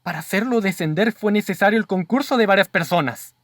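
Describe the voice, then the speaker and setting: A man's voice: high voice, fairly quick, dry recording, clean studio sound, natural voice. An actor playing a doubtful male companion in a radio drama, questioning the case in a formal, earnest way. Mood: reasoning.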